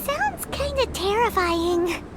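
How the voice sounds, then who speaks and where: A woman voicing a tiny, excitable, childlike fairy companion in a video game, with a squeaky voice. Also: slow pace, noisy background, heavily acted. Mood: wary. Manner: exclamatory, speaks of herself in third person. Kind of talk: video game character acting. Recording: loud game music behind voice. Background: music.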